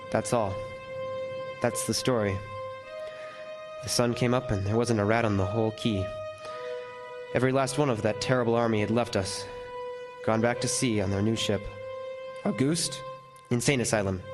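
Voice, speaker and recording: A haunted lighthouse keeper played by a man recounts his ordeal as a first-person radio horror narration. He speaks haltingly, repeating words and leaving suspenseful pauses, with loud music behind the voice. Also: medium pitch, natural voice. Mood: hushed suspense, then uneasy.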